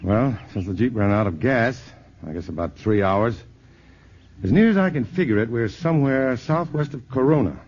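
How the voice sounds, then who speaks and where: A man's voice: mid-range voice, expressive, moderate pace, darkish tone, breathy edge. A man playing a level-headed scientist in a 1950s radio drama, speaking steadily and reassuringly. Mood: urging. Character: level-headed male scientist, adult, calm and protective. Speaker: scientist hero in a radio play. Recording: muffled old radio broadcast with faint hiss.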